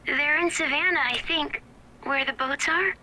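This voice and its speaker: A woman's voice: sits high, normal speed, pushed and bright, a little hiss or hum, low-quality recording. A woman voicing a small, guarded eight-year-old girl in a video game, heard over a walkie-talkie. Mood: guarded, quiet.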